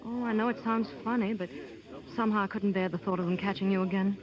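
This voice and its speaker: A spirited young heroine played by a woman of a 1930s radio play, speaking at a rapid clip. She is tart-tongued yet loyal, on a dull, muffled vintage recording. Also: medium pitch, steady tone, lightly acted. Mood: apologetic, softening. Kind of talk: 1930s radio drama acting.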